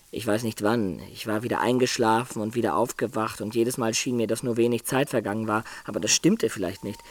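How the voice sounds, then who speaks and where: A man's voice: medium pitch, close to an everyday voice. An actor playing an uneasy, dutiful medieval guard giving his account in a radio play.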